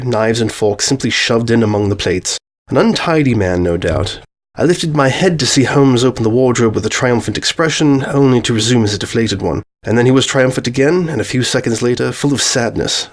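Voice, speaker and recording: Man reading a scripted story. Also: medium pitch. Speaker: narrator.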